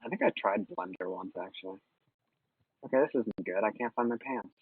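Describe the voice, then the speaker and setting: Husky young man over tinny voice chat. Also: on the low side, fairly quick, no echo. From USA, Minnesota. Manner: excitable, jokey, swears at mishaps. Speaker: co-streamer. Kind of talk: casual gaming stream chat.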